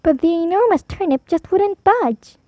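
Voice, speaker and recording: A woman storyteller reading a picture book aloud for preschoolers, animated, drawing out key words for emphasis. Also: very high-pitched, normal speed, no room sound. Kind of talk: expressive read-aloud for preschoolers.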